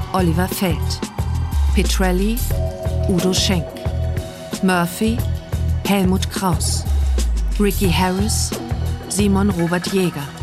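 A woman announcer reading scripted radio drama credits in standard German. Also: lowish voice.

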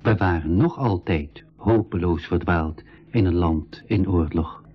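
An actor narrating a radio adventure as one of the travellers. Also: male voice, low voice, a little slow, breathy edge, soft background music. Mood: measured. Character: adventurer narrator recounting his group's journey. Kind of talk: scripted radio play narration. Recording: dull 1980s radio recording.

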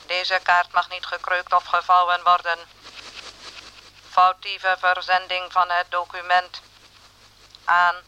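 A man's voice: high-pitched, clean tone, heavily acted, rough recording. A man voicing an emotionless bureaucratic computer in a radio drama, reading official notices mechanically. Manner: flat, mechanical, impersonal.

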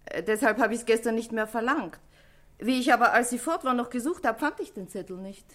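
High-voiced, brusque shopkeeper woman played by an actress. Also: a little fast, fairly bright tone, close to an everyday voice. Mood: explanatory. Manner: brusque, colloquial, unintimidated. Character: brisk, testy, chatty female dress-shop owner. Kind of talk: German crime radio play acting.

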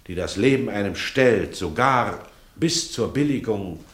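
Radio drama father: low register, solemn, deliberate delivery, roomy acoustic. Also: lively intonation, a bit bright. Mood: grave, questioning. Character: father solemnly explaining life's compromises to his son.

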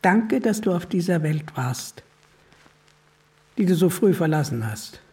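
An actress playing a mourning admirer giving an emotional farewell to a dead singer in a radio play. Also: female voice, fairly low pitch, animated, unhurried, mellow, distant mic. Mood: grieving, grateful.

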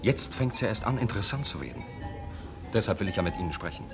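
Pushy ambitious professor in a radio play, deep and very animated, music underneath. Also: male voice, quick pace, vocal fry. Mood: insistent, persuasive. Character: insistent, ambitious male professor of medical research. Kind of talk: German radio play acting.

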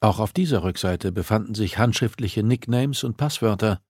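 A man's voice: on the low side, normal speed, a little mellow, slightly rough, no echo, clean studio sound. A man reading a suspenseful story as an audiobook narrator, in scripted, dramatic narration.

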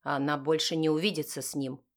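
A woman's voice: deep voice, flat delivery, normal speed. A woman voicing a stern, wounded father, curt and firm, in an audiobook drama. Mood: curt, resolute. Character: stern, wounded, authoritative father of a teenage girl.

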